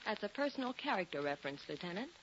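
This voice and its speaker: A woman's voice: mid-range voice, very animated, fast, fairly bright tone. An actress playing a blunt, wisecracking young female secretary in a 1940s radio drama. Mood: tart. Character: sassy, sharp-tongued young secretary. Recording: dull, noisy 1940s radio recording.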